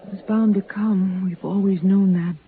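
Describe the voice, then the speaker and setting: An actress playing a solemn, resigned young frontier widow in an old-time radio western. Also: female voice, medium pitch, fairly even intonation, moderate pace, natural voice. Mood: resigned, sorrowful. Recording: muffled, noisy vintage radio recording.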